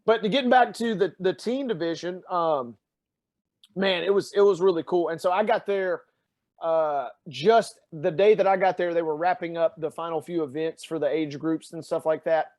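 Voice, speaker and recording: A man from Tennessee talks with a Southern accent in a fairly high voice. He tells stories in a lively, chatty way, filling his pauses with "uh" and "um". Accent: Southern American, Tennessee. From Tennessee, USA. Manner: animated storyteller with many fillers. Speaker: podcast guest. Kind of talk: casual chat.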